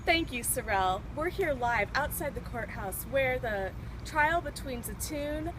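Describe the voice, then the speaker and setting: Over clear ambient and vehicle noise, a female reporter delivers a live-style report, acted by a woman. Her voice is fairly high and the wording is scripted. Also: expressive, measured pace, fairly bright tone. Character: female live field reporter outside courthouse. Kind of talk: amateur mock news report.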